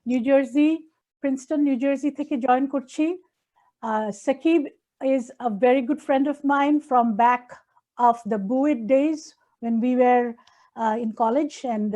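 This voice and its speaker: A woman from Bangladesh introducing herself quickly in an online meeting, switching between languages. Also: on the high side, dark tone. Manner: quick, mixing two languages. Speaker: attendee. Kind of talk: self-introduction. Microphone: video call.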